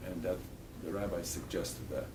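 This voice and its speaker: This is an older man with a deep, low voice. He talks to schoolchildren haltingly and earnestly, often breaking off and starting a phrase again. He sits well back from the mic in a school room, with steady background noise. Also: distant mic. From Poland. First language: Polish. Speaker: guest speaker. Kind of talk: talk and Q&A with schoolchildren.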